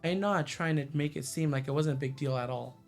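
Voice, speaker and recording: A man's voice: fairly high pitch, a little fast. A man giving casual livestream commentary as a game streamer. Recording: faint game music behind the voice.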